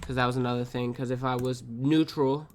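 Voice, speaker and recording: Sniffly man, casual profane trade recap, mid-pitched, keyboard clicks behind. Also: steady tone, a little fast, noisy background. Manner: thinks aloud, profane, mutters to himself. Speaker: day trader reviewing his trades.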